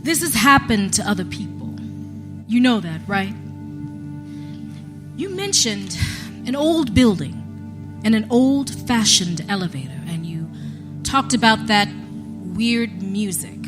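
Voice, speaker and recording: An actress playing a calm, persistent FBI special agent in a live audio drama, measured and coaxing as she questions. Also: medium pitch. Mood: probing, insistent. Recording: live stage recording with music behind.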